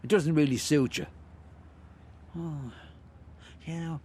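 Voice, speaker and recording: An older Irish bricklayer in a radio drama, with a voice pitched a little high. He is chatty and nosy, musing and hesitating as he rambles on, full of wonder. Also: takes it slowly, darkish tone, a little hiss or hum. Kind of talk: radio play acting.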